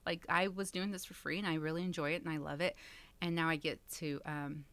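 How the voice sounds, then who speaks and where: A woman's voice: sits low, fairly even intonation, brisk, fairly bright tone, clean tone, no room sound. A woman in her thirties from Texas, a community center director, chatting as a guest with frequent laughs and fillers. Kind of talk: casual interview chat.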